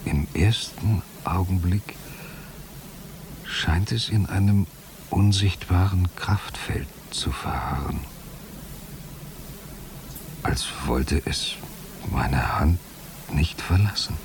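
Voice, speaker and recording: An entranced man in a radio drama monologue. The voice is very low and extremely slow, halting and hushed between phrases. A clear wash of noise runs behind it. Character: entranced man watching time stand nearly still.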